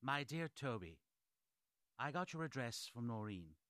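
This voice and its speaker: An actor playing a 1940s gentleman landowner reading his letter to a cousin before going to war, formal and measured, in a radio drama. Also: medium pitch, very animated, no room sound, clean studio sound. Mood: composed, matter-of-fact.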